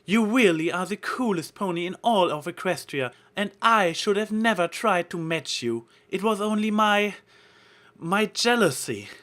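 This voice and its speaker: Man as a showy female storybook unicorn, raised pitch, exaggerated, eager to please. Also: lively intonation, unhurried, fairly bright tone. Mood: theatrical humility. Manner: theatrical, exaggerated.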